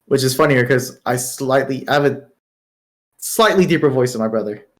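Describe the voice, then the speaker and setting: A man's voice: medium pitch, moderate pace. A young man with a slight Southern US accent and a light, airy voice, co-hosting a podcast in easygoing chat and laughing readily. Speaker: podcast co-host. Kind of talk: casual chat.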